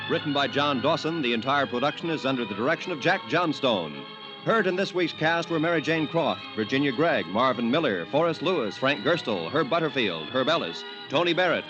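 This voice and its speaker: A man's voice: medium pitch, poor audio. A man announcing a 1950s radio drama, reading scripted credits and sign-off in the period style. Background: music.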